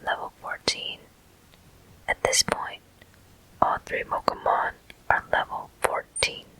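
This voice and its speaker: A person whispering ASMR commentary over a video game walkthrough in a hushed, unhurried, explanatory way, pausing between short phrases. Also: rough recording. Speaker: gameplay host.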